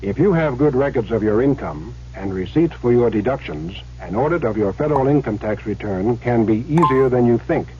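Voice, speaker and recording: A man's voice: mid-range voice, lively intonation, brisk, few pauses. A man reading a scripted public service announcement on old-time radio. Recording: muffled vintage radio with faint hum.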